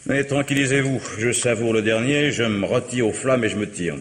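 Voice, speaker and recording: An actor playing a wry, cheeky vagabond who scrounges drinks, with sardonic, drawling banter, in a vintage radio drama. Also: male voice, mid-range voice, a little fast, close to an everyday voice. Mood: sly. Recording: old radio recording, long reverb, faint hiss.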